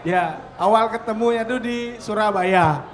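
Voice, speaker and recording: High-voiced man telling stories. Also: unhurried, slight background noise. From Bali. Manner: laughs often, acts out quoted speech. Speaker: band member guest. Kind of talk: casual storytelling chat.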